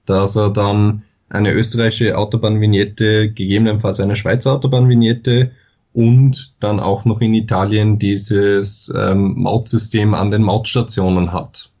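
A low-voiced Austrian man from the far west of the country speaks as a podcast guest. He explains things at length in careful standard German and pauses often with filler sounds. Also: level tone, darkish tone. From Vorarlberg, western Austria. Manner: measured, explanatory, with many hesitations. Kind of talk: podcast interview.

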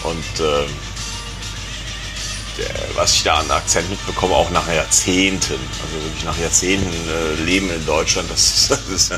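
Rambling middle-aged man, low voice over music. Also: fairly even intonation, normal speed. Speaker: conversation participant. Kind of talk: casual chat.

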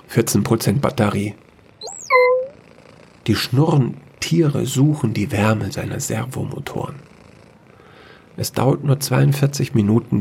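Slow, low, gravelly male storyteller. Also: a little mellow, slight background noise. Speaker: narrator. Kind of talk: scripted narration of a sci-fi fairy-tale audio drama.

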